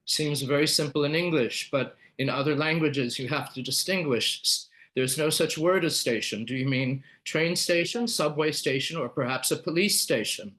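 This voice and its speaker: A man's voice: fairly high pitch, moderate pace, hardly any pauses, fairly bright tone. A man presenting a live webinar, explaining with frequent fillers and restarts.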